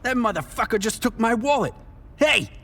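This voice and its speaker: A man's voice: very high-pitched, expressive, moderate pace, sharp tone, slight background noise, exaggerated voice. An actor playing a bitter, menacing drug dealer who narrates his crime drama with cynical, profane threat. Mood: angry, threatening. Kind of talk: noir first-person scripted narration and dialogue.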